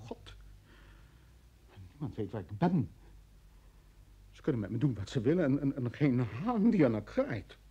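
A man's voice: mid-range voice, big pitch swings, measured pace, long pauses, slightly rough, lo-fi sound. An actor in a radio drama playing a panicked, indignant man held by security, stammering as he protests. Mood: despairing. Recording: old radio play with background noise.

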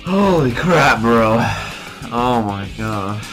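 A young man giving casual reaction commentary in an amused, halting way, often laughing through his words. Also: medium pitch, lively intonation, fairly bright tone, faint music behind, poor audio. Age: young adult. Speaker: reaction streamer. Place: small bedroom, indoors.

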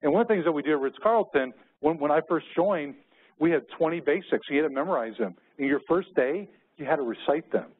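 A man's voice: fairly high pitch, animated, quick pace, slightly rough. A middle-aged American man giving a conference keynote, telling personal anecdotes as a storyteller. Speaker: keynote speaker. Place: conference room with windows. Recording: muffled, phone-like narrow-band audio.

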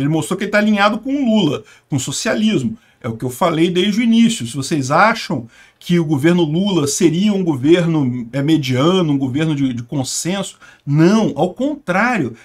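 A middle-aged man from Brazil hosting casual political commentary, sarcastic and emphatic with scornful asides, talking at a desk in a small room. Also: sits high. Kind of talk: casual political commentary over screen share.

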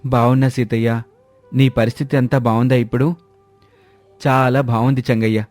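Humble village man for an animated moral story, played by a male actor: mellow, earnest, plain-spoken, over soft music. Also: mid-range voice, moderate pace, no echo, close to an everyday voice. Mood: friendly. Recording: music under the voice.

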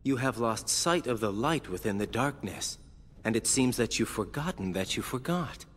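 A man voicing a smug, mocking young villain in a video game cutscene, with teasing, theatrical, drawn-out phrasing. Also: medium pitch, animated, natural voice. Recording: loud music under the voice.

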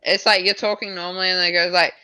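A man's voice: sits high, level tone, quick pace, bright, forceful tone, clean tone, dry recording, poor audio. A teenage boy chatting on a friend's gaming livestream, giggly and playful, acting mock offended. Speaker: co-host friend on stream. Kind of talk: casual gaming livestream chat.

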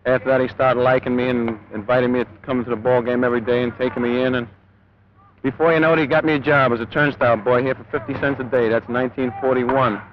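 A man's voice: medium pitch, steady tone, quick pace. A man, a bat boy answering questions in an informal interview. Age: 20s. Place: outdoors at an empty ballpark dugout. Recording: dull 1950s newsreel sound, faint noise.

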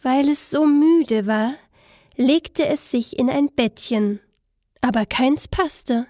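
A woman narrates a fairy tale in a high voice at a slow, careful pace. Her phrasing is expressive and scripted, closely recorded with little room sound. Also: no room sound, clean studio sound.

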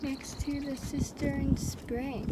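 Young female travel vlogger, high-pitched, unhurried and casual, noisy open-air recording. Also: little pitch movement. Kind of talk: casual vlog chat. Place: outdoors in open nature. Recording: noisy outdoor phone audio.

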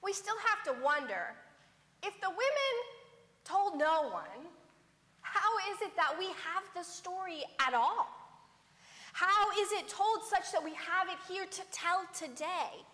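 Woman pastor, sermon, bright clear tone, long church reverb, measured delivery with hushed phrase endings. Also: very high-pitched. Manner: measured, softening to a hush at phrase ends. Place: large church sanctuary before a pipe organ.

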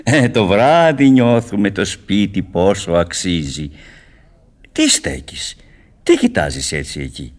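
A lowish male voice that swoops dramatically up and down gives us a scholar's assistant in a radio play. He is chatty, skeptical and a little pedantic, delivering verse in theatrical sing-song. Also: exaggerated voice. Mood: fussy, chilly. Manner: animated, sing-song declamation.

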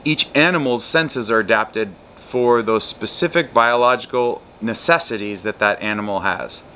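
A man's voice: fairly low pitch, normal speed, sharp tone, a little hiss or hum. A man in his thirties giving a recorded psychology lecture outdoors in a backyard, explaining with a guitar and a whiteboard. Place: outdoors in a backyard patio and garden.

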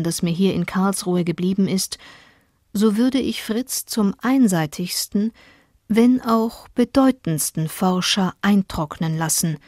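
An actress voicing an educated, embittered chemist and wife, reading letters aloud in a measured, articulate way for a radio play. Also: female voice, mid-range voice, warm tone, no echo, clean studio sound, close to an everyday voice.

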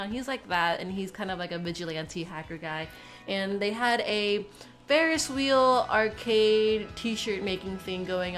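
A woman's voice: mid-range voice, a bit bright. A sick young woman with a stuffy, nasal voice, chatting casually in a small room while unpacking a bag, rambling with lots of fillers. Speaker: vlogger. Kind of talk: casual unboxing chat.

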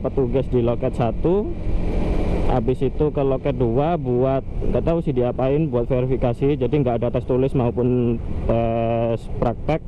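A young man chats loosely to his viewers while riding a motorbike through town traffic. He rambles, corrects himself and fills pauses as he goes. The sound is dull and lo-fi, with heavy traffic and engine noise behind him. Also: medium pitch, steady tone. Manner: chatty, loose, with fillers and self-corrections. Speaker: motovlogger. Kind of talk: casual vlog talk while riding. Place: riding a motorcycle on city streets outdoors. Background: road traffic and motorbike engine.

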